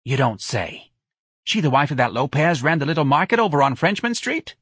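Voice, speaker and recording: A man voicing a bossy, nosy and excitable woman in an audiobook, with a brash, folksy New Orleans accent. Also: on the high side, brisk, husky, no echo, studio quality, voice pushed up, full character voice. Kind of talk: audiobook character voice.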